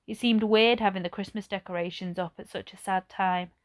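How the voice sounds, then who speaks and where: A woman reading a children's story aloud like a teacher, with measured, expressive phrasing. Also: medium pitch.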